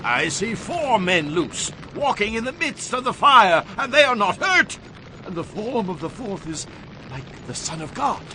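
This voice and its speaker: A man's voice: high-pitched, very animated, measured pace, sharp tone, a little hiss or hum, heavily acted, poor audio. An actor playing a proud, wrathful ancient Babylonian king, declaiming grandly in a dramatized scripture recording. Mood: astonished. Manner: theatrical, declamatory, imperious.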